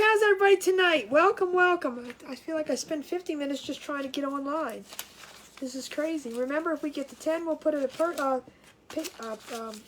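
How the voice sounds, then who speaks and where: A woman's voice: sits high, a little hiss or hum. A woman hosting a casual craft livestream from home, sounding nasal and congested, chatty and scattered, with frequent laughs and restarts.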